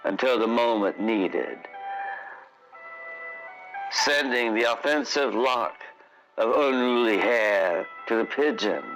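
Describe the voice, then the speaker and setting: A man narrating a scripted fantasy tale as a radio drama voice actor, with a solemn, theatrical, deliberate delivery. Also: medium pitch, a bit bright, low-quality recording.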